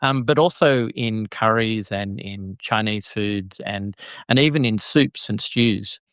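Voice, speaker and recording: A man's voice: fairly low pitch, slow pace, a bit bright, clean tone, no room sound, clean studio sound. A man hosting a casual podcast chat who speaks hesitantly, with lots of "uh"s and repeated words. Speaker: podcast host.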